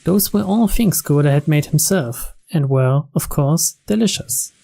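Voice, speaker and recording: A man's voice: a little high, lively intonation, measured pace, vocal fry, mellow. A man reading a mystery story aloud as narrator, in a scripted narration style.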